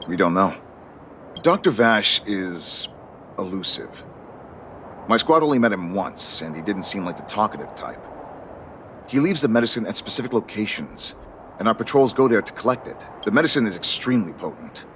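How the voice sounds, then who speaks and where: Expressive, stern but fair guard captain. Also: male voice, fairly low pitch, moderate pace, slight background noise. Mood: frank, concerned. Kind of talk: video game voice acting.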